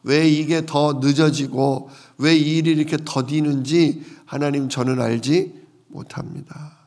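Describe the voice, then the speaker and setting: A man preaching a conversational Bible sermon as a pastor, explaining things with rhetorical questions in a gravelly voice. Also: a little high. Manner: explanatory, asks the congregation rhetorical questions and answers them.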